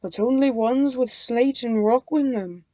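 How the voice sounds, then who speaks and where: A woman voicing Timothy, a calm, friendly male engine, in a mild manner. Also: a little high, measured pace, no room sound, natural voice.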